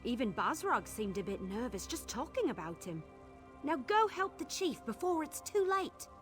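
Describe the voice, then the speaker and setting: A woman playing a brash, sardonic young caravan guard in a fantasy video game, blunt and expressive. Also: high voice, moderate pace, faint music behind. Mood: earnest, wry. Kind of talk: video game dialogue acting.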